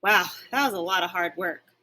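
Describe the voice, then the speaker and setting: A woman's voice: lowish voice, moderate pace, sharp tone. A woman reading a children's story aloud like a teacher, expressively, with dramatic and varied emphasis.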